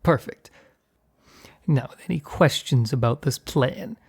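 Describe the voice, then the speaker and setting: A man playing a gruff, short-tempered mob boss in an ASMR roleplay, with a gravelly, husky voice. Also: medium pitch, lively intonation, slow pace, heavy background noise. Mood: commanding, businesslike. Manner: commanding street-tough slang with crew, flustered hushed stammer with partner. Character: gruff, short-tempered male mob boss hiding his work from partner.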